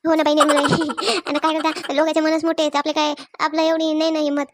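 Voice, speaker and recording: A woman voicing an excitable, blunt village pilgrim woman in a cartoon comedy, exclaiming and repeating words in a Varhadi Marathi accent. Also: high-pitched, fast, noisy background. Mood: amazed.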